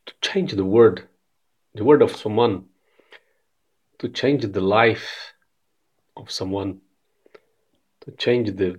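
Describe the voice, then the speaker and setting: A man in his thirties from Brazil, with a Brazilian Portuguese accent, sharing a short devotional message in careful non-native English, often repeating words, recorded on a phone at arm's length in a bright room. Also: medium pitch, breathy edge. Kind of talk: casual devotional message to camera. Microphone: phone camera at arm's length.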